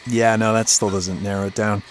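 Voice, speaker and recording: A man's voice: fairly low pitch, flat delivery, moderate pace, slight background noise, lightly acted. A man playing a laid-back, sarcastic, worn-out radio DJ who teases his way through an audio drama scene. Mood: sardonic. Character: scruffy, sardonic broken-down male radio DJ. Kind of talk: naturalistic audio drama dialogue.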